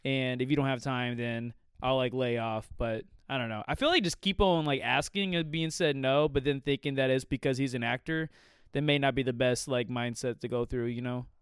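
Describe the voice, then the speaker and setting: A man co-hosting a casual advice podcast, talking in a rambling, halting way full of fillers and jokes. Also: mid-range voice, steady tone, clear, resonant tone, dry recording, studio quality. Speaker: podcast co-host.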